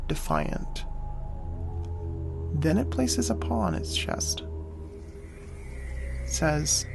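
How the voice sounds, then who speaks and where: An actor playing a wary, stern great-cat hunter, narrating in the first person in grave, clipped, deliberate phrases for an audio drama. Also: male voice, on the high side, creaky, full character voice. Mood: guarded, puzzled. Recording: music under the voice. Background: dramatic music.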